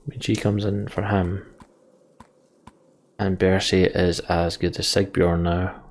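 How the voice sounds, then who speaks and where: A man's voice: low voice, level tone, slow. A man giving casual gameplay commentary, muttering to himself and sometimes reading game text aloud. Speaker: let's player.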